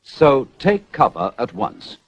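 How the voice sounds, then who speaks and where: A British man reading a 1970s public information film script in a measured, formal, instructional way. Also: mid-range voice, big pitch swings, background noise. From United Kingdom. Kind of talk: scripted narration, 1970s civil defence announcement. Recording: dull, band-limited 1970s film soundtrack.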